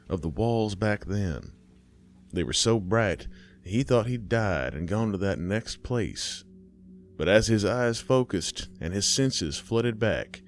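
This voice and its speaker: A man hosting and narrating a horror story, folksy and unhurried, who talks straight to the listener. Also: low voice, slight background noise.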